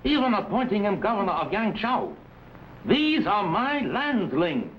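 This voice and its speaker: Scheming warlord, swooping stilted delivery. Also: male voice, high voice, sing-song, a little slow. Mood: resentful, determined. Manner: stilted, formal broken English. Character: resentful, scheming Chinese warlord, proud and formal. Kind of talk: vintage serial melodrama. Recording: dull, faintly noisy old broadcast.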